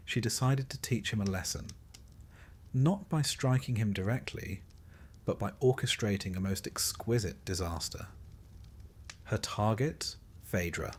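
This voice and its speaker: A man narrating a scripted bedtime story in a measured way, with dry, wry understatement. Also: fairly low pitch, slightly rough.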